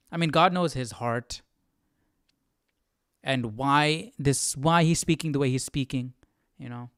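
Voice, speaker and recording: A man hosting a Bible study, chatting casually and reflectively, thinking aloud in a halting way. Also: medium pitch, clear, resonant tone, no echo, studio quality. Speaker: host of a live Bible study. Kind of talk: casual chat, commentary on scripture.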